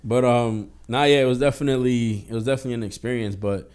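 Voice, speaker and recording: Fast-talking young man, candid. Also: medium pitch, steady tone, darkish tone, clear, resonant tone. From Boston. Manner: streetwise, candid, heavy swearing. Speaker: podcast guest. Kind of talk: casual podcast conversation.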